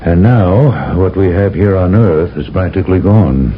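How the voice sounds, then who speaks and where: A grave, commanding general. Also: male voice, low voice, animated. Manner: measured, solemn, lecturing. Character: grave older male general, commanding, briefing an agent. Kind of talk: 1970s radio drama acting. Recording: dull lo-fi old radio broadcast with faint hiss.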